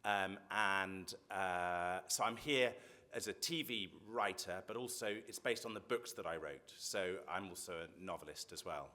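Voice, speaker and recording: An English man with a low-pitched, forceful and bright voice introduces himself on a convention panel. He is wry and self-deprecating, and he keeps the jokes coming. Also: measured pace, few pauses. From England. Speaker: panelist, TV writer.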